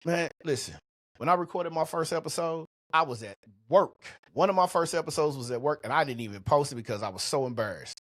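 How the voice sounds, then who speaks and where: A middle-aged man with a husky voice hosting a motivational business podcast, speaking in a colloquial, emphatic, punchy way on a close microphone. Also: on the high side, lively intonation, fairly quick, a bit bright, dry recording. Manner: colloquial, emphatic, punchy delivery with rhetorical questions. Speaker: podcast host and coach.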